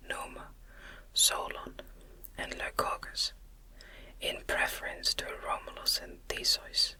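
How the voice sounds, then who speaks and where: A woman gently whispering a gothic novel as an ASMR audiobook narrator, in breathy, deliberate phrases. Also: rough recording.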